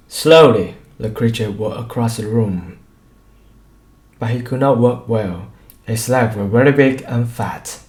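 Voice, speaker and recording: Slow, deliberate male storyteller in echoey room. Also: mid-range voice, a little mellow. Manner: deliberate, with pauses between short phrases. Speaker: audiobook reader. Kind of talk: scripted story narration.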